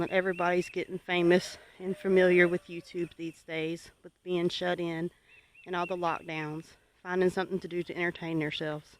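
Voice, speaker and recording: A homesteading channel host gives viewers advice in an earnest, exhorting and deliberate way. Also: medium pitch, a little hiss or hum.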